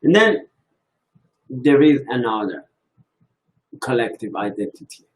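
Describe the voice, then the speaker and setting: Slow, halting man. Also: medium pitch, sing-song, poor audio. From Iran. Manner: slow, halting, thinking aloud. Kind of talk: reflective religious talk.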